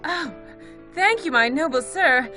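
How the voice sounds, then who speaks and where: A man voicing a sweet, swooning female husky dog who barks and gushes thanks in a cartoonish news skit. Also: extremely high pitch, expressive, a little slow, pushed and bright, soft background music, voice pushed up, full character voice.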